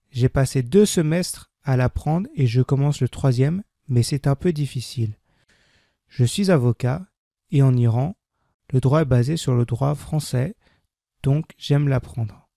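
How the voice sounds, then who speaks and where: A man with a dark, gravelly voice reads a beginner French text at a measured pace. He repeats each sentence with careful diction. The recording is dry and close, with no room sound. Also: medium pitch, dry recording. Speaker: French language teacher. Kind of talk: slow scripted reading for learners.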